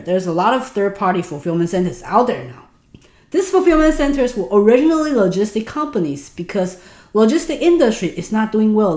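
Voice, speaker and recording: A woman's voice: on the high side, reverberant. A woman in her thirties from Taiwan, native Mandarin speaker with a Taiwanese accent, earnestly presenting a webinar on online selling and asking viewers to respond in the chat. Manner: animated, earnest explaining, asks audience to type in chat. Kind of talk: online business webinar talk.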